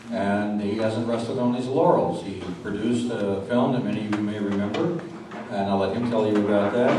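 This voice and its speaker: A man hosts a live interview, speaking as one introducing a guest on stage. His medium-pitched voice rings in a very echoey space, picked up from some distance, with clear noise behind it. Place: live event venue with audience.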